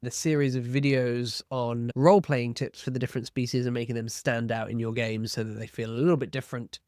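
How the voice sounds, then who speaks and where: A man hosting a tabletop roleplaying tips video, explaining in a measured, semi-scripted way and stressing key terms. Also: mid-range voice, fairly even intonation, a little mellow, no echo, studio quality.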